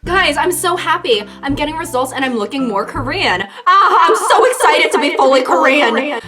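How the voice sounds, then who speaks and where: Squealing, over-excited teen girl, high and loud , put on by a woman. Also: normal speed. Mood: giddy. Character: giddy teenage girl bragging about changing race.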